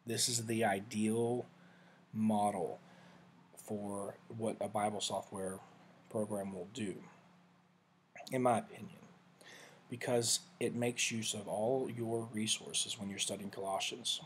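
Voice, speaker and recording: A man with a fairly low voice talks through a software review in a loose, conversational way, doubling back and fixing his words as he goes. A faint ambient hiss sits under his speech from a desktop mic. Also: fairly even intonation, slow, pauses often. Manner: rambling, self-correcting, conversational. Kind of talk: casual screen-share walkthrough.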